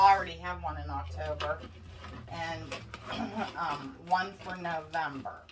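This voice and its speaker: Stuffy-nosed woman, chatty craft vlogger, slow and fretful, mic set back, faint hiss. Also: on the low side. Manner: chatty, worried, addresses viewers as guys. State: congested, stuffy nose. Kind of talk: casual chat while crafting. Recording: distant mic with faint background noise.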